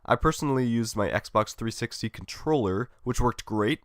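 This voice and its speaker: A man's voice: mid-range voice, animated, normal speed, a bit bright, dry recording. An upbeat young man hosts a solo gaming podcast and reads his script in a friendly, chatty way. He is getting over a cold. The recording is dry and close, with no room sound. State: recovering from a cold. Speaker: podcast host.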